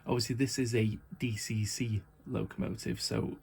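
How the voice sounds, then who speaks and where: A slightly croaky man chatting casually as he shows off and tests a model locomotive, repeating his praise and filling pauses with ums. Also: fairly low pitch, little pitch movement, a little mellow, a little hiss or hum. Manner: chatty, repeats praise words, many fillers. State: croaky from hay fever and little sleep. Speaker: model railway hobbyist reviewer. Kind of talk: casual unboxing and test-run commentary.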